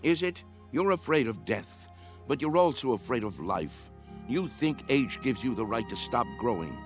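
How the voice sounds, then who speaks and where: A man's voice: mid-range voice, very animated, moderate pace, natural voice. An actor playing an earnest, persuasive old man with a dreamer's young heart in a radio drama. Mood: defiant, impassioned. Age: older. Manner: earnest, persuasive, challenging with questions. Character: old man, impassioned youthful-hearted dreamer coaxing others to play. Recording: radio drama with music underneath.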